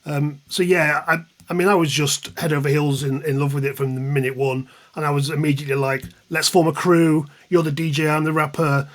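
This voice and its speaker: A man's voice: on the high side, level tone, a little fast, few pauses. A man in his fifties with an English accent, chatting anecdotally and with self-deprecation as an interview guest. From England, East Midlands. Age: 50s. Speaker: guest, music journalist and author. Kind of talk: casual reminiscing in an interview.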